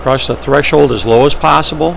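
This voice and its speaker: Guy with a gravelly voice talking thru flying steps, matter of fact, engine noise behind him. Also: mid-range voice, a little fast. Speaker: pilot presenting ski flying. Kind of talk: instructional narration, explaining step by step. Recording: steady engine and vehicle noise.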